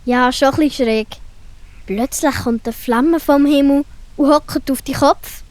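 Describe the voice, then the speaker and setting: Lady playing a curious happy little animal girl, high pitched, playful, swiss german, kids audio story. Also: unhurried, darkish tone, dry recording. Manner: playful, childlike. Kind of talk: children's audio story acting.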